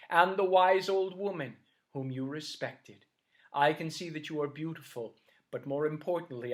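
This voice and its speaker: A woman doing a solemn, regal king. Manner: low, solemn and formal. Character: dignified king, transformed from a snake.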